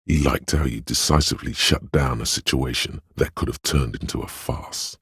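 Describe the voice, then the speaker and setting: An actor voicing a game's inner voice of empathy, a grave, compassionate narrator with a husky, gravelly voice and a measured, solemn, intimate delivery. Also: male voice, extremely low pitch, a bit bright, no room sound, studio quality, exaggerated voice. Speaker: game narrator. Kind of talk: scripted second-person game narration.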